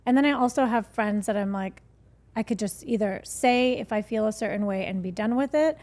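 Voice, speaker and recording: A woman's voice: medium pitch, brisk, a little mellow. A woman, a skincare founder speaking candidly as a guest in a casual interview, with lots of fillers. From United States. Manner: candid, chatty, many fillers.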